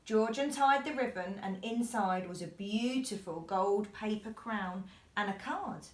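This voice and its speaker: A woman's voice: mid-range voice, a little slow. A woman reading a children's bedtime story expressively, with sing-song verses and asides to young listeners.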